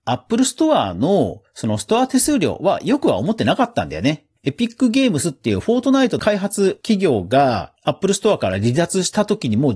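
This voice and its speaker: A man's voice: on the high side, darkish tone, husky. A man hosting a podcast, giving chatty, casual commentary on the news in a conversational way. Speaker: podcast host.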